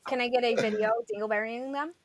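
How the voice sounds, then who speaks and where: A woman's voice: fairly high pitch, steady tone, fast talker, over a noisy crowd. A woman co-hosting a casual panel chat in a playful, upbeat way. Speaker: co-host.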